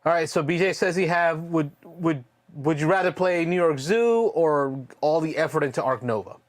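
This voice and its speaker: A man's voice: on the high side, moderate pace. A man chatting casually while playing a board game on a stream, reading out and answering viewer comments. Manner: reads out viewer comments and chats back. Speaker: board game player and host of a live play stream. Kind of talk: casual chat during board game play.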